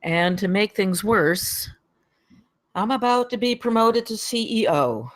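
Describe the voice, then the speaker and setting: A man's voice: high voice, lively intonation, unhurried, fairly bright tone. A man reading the part of a weary, apologetic middle-aged studio executive at a screenplay table read. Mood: grave. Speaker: actor at a live table read.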